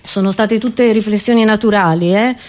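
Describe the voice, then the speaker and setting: A woman's voice: medium pitch, normal speed, darkish tone. Woman comedian delivering a playful theatrical monologue. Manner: theatrical, playful, conversational asides to the audience.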